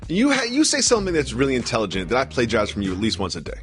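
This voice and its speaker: An American man co-hosting a playful sports talk show, animated and full of rhetorical questions. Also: medium pitch, racing.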